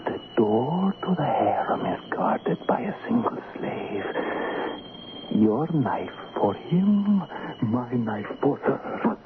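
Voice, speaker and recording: An actor playing a ruthless, greedy Indian thief and killer in an old-time radio drama, intense, hushed and commanding. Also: male voice, medium pitch, sing-song, slow pace. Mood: urgent, hushed. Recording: noisy, narrow phone-like old radio recording.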